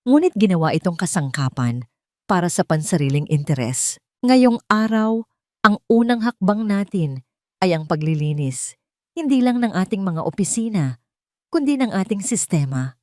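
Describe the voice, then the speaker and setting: Woman voicing a dignified, resolute lady executive, low-pitched. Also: big pitch swings, darkish tone, no echo, clean studio sound. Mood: firm, authoritative. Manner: formal, authoritative, measured. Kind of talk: audio story dialogue.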